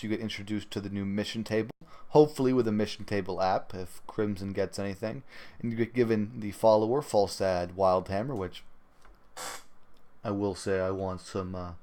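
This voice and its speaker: Low-pitched man hosting casually. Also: darkish tone. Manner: halting, rambling. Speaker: podcast host. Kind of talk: casual gaming talk show commentary.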